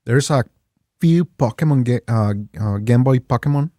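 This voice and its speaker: A man's voice: mid-range voice, moderate pace, mellow, no echo, clean studio sound. A young man with a Mexican Spanish accent, a native Spanish speaker, chatting as a guest and searching for English words. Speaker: guest, game store owner. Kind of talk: casual interview chat.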